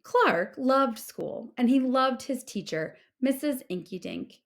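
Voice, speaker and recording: A woman narrates a children's picture book in a fairly high voice. Her delivery is very slow and clearly paced for young listeners, with lively swings in pitch. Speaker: read-aloud storyteller. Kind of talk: expressive scripted children's story narration.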